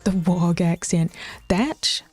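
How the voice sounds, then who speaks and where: A woman's voice: lowish voice, slow, darkish tone, breathy edge, soft background music. A young woman with an Auckland New Zealand accent chatting casually with her stream chat, rambling, swearing and sometimes dropping into whispers.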